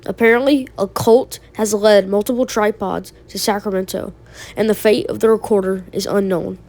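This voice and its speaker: A woman's voice: medium pitch, steady tone, normal speed, darkish tone. A woman hosting a video essay, giving explanatory commentary on a horror video.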